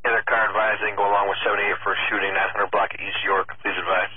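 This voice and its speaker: Male dispatcher, rapid and unvarying, procedural, heard through a thin, lightly noisy radio channel. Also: fairly low pitch, level tone. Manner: clipped, procedural. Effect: police radio. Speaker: police dispatcher. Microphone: radio transmission captured from scanner feed. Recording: tinny phone-like scanner radio, faint noise.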